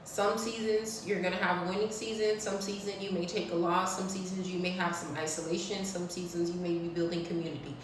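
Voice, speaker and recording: A woman's voice: on the low side, level tone, a little fast, few pauses, distant mic, background noise. A woman in her thirties giving a blunt, preachy spiritual talk to her viewers, swearing freely. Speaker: spiritual vlogger. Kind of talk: casual spiritual talk to camera. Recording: echoey room, voice off-mic.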